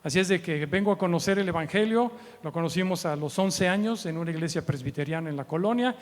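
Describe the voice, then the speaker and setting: Guest preacher: Mexican man, early 70s, fairly high voice, chatty and humorous teaching, long hall reverb. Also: fast. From Mexico City, Mexico. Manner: conversational, humorous, often seeks agreement with short tag questions. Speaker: guest preacher and missions trainer. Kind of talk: informal church teaching with anecdotes. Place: church meeting hall.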